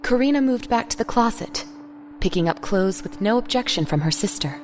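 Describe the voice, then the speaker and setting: A woman's voice: medium pitch, lively intonation, a little fast, a little hiss or hum. A woman reading scripted third-person story narration for an audio drama. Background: music.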